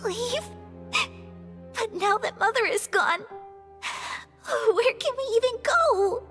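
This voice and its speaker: A woman's voice: extremely high pitch, lively intonation, slow, a bit bright, full character voice. A woman voicing a sweet, vulnerable young daughter in a dramatic video game scene. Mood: frightened, grieving. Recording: long reverb, music underneath. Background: music.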